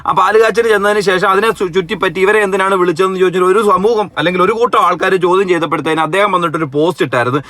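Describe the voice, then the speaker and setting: A man's voice: high voice, rapid-fire, few pauses, fairly bright tone, dry recording. A man with a Kerala accent giving casual, mocking reaction commentary and reading comments out theatrically. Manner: mocking, sarcastic, reads comments aloud theatrically. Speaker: online commentary host.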